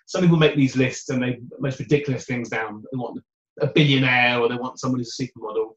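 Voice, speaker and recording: A British man in a dating coach interview, with a loud voice and an upbeat, chatty way of talking. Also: medium pitch, quick pace. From United Kingdom. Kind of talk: conversational interview answers. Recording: roomy, dull remote audio.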